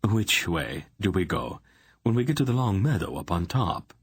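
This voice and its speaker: A man voicing a small, quick-witted male thief who speaks fast and softly. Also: low-pitched, very animated, breathy edge, dry recording, studio quality, exaggerated voice. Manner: quick and hushed.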